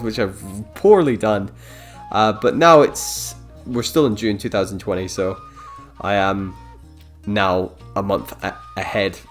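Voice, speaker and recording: A man from Scotland talking casually to his vlog in an unscripted, rambling way, often interrupting himself and thinking aloud. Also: mid-range voice. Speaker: vlogger, dance choreographer and producer. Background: background guitar music.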